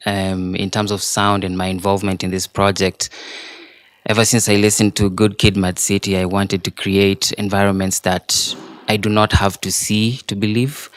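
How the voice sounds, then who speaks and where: A man's voice: sits low, fairly bright tone, no echo. A man from Africa speaking earnestly and candidly as he shares personal reflections with a group. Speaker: sound designer and artist.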